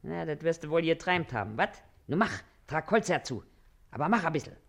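A woman's voice: sits low, very animated, a little fast, exaggerated voice. An actress playing a shrewd, bossy working-class washerwoman and mother with a Berlin accent in a radio play. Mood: brusque. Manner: brusque, commanding.

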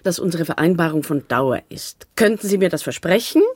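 A woman's voice: on the low side, expressive, a little fast, breathy edge, no room sound, studio quality. An actress in a German radio drama playing a pushy, persistent woman who demands a lasting commitment. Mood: demanding.

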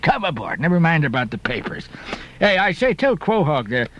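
A man voicing a gruff, blustery old sea captain in an audiobook, hearty and exclamatory. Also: a little high, very animated, normal speed, a bit bright, muffled recording, a little hiss or hum. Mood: excited, hearty.

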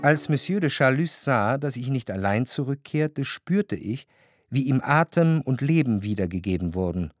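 An actor as the observant, quietly ironic first-person narrator of a literary radio drama, reading long scripted sentences. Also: male voice, mid-range voice, lively intonation, normal speed, lightly acted. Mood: reflective.

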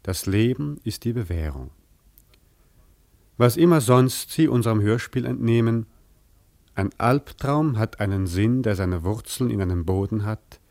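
A man's voice: lowish voice, dark tone, no echo. A male presenter giving a grave, reflective introduction to a radio play. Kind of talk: formal spoken introduction.

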